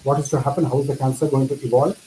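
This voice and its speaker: A man's voice: mid-range voice, fairly even intonation, very fast, warm tone, a little hiss or hum, low-quality recording. Tamil-speaking guy giving a talk, earnest, repeats himself a lot. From India. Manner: earnest, explanatory, repeats phrases for emphasis. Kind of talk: lecture answering questions.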